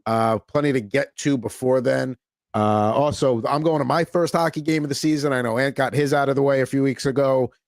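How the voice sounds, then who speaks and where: Easygoing American sports podcast host. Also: male voice, medium pitch, steady tone, a little fast, no echo, clean studio sound. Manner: rambling, filler-heavy, easygoing. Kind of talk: casual sports chat.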